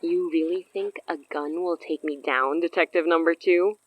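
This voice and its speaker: A woman's voice: on the low side, steady tone, moderate pace, no room sound, lightly acted, low-quality recording. A woman playing a cocky, teasing supernatural villainess in a horror audio drama, with smug, coy delivery.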